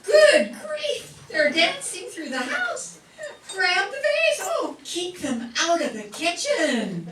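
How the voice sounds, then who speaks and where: A woman's voice: extremely high pitch, wildly swooping pitch, slow, full character voice. A woman playing a practical, exasperated mother in an amateur comedic radio play, wry and fretting. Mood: alarmed. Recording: roomy, distant mic, loud music behind.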